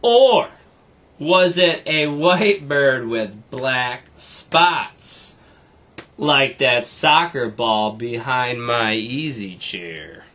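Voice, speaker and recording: A man voicing a puzzled father bear, questioning in a drawn-out way, for a children's storybook. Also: mid-range voice, lively intonation, bright, forceful tone, heavily acted. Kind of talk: children's storybook read-aloud.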